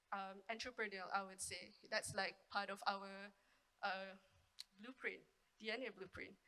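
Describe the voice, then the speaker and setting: A woman moderates a panel in a big conference hall, her bright voice ringing out in a long reverb. She talks in a chatty way, with fillers and asides to the audience. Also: mid-range voice. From Malaysia (Sarawak). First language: Iban.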